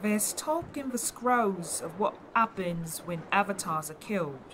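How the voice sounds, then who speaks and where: A woman's voice: mid-range voice, expressive, a little slow, a bit bright, a little hiss or hum. An actress playing a devout, skeptical older mother with folksy, rustic phrasing in a fantasy audio drama. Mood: doubtful, thoughtful.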